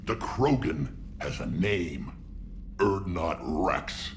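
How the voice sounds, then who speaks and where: Gravelly, deep alien warlord. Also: male voice, animated, extremely slow, a little hiss or hum, full character voice. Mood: defiant. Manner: blunt, defiant, growling. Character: gruff hulking male alien warlord, proud and defiant. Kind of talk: video game voice acting.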